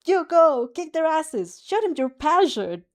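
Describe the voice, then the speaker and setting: A woman voicing a loud, fiery teenage boy who cheers with passion in a fanfic reading. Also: high voice, big pitch swings, a little slow, pitched above the performer's own voice. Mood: shouting, cheering. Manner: shouting with passion.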